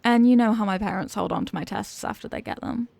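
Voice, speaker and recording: A woman's voice: mid-range voice, animated, quick pace, gravelly, darkish tone, no echo. A woman playing an evasive, flustered popular cheerleader being tutored, in an acted ASMR roleplay with a hesitant, defensive delivery. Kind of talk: ASMR roleplay, acted one-sided conversation.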